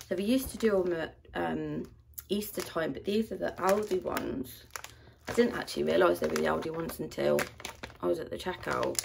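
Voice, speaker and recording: A young woman chatting casually through a grocery haul in a rambling way, her voice low and a little rough from a dry throat. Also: background noise. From United Kingdom. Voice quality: low, gravelly voice. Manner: chatty and rambling, with many ums and likes. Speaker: vlogger. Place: at home.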